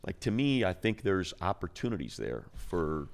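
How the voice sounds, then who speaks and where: A man with a deep voice and plenty of vocal fry co-hosts a sports talk show. His analysis is casual and conversational, delivered in blunt, colloquial language. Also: sing-song, moderate pace.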